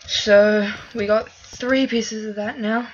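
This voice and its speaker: A woman giving casual let's-play commentary as she plays, chatty and thinking aloud with fillers, a little hoarse from a cough. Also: a little high, fairly even intonation, takes it slowly, dull audio, a little hiss or hum. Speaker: gaming video creator.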